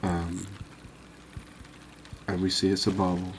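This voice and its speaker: A man giving relaxed, unhurried, musing ASMR-style gameplay commentary, chatting to his viewers. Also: deep voice, a little hiss or hum, low-quality recording. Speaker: host of a cozy fishing game channel.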